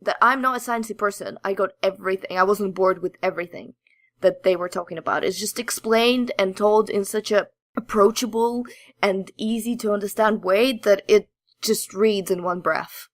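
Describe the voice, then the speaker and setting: A woman's voice: mid-range voice, moderate pace, dry recording, clean studio sound. A woman co-hosting a podcast, explaining and recommending things in casual, enthusiastic, emphatic talk. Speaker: podcast co-host. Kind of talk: casual chat, enthusiastic review.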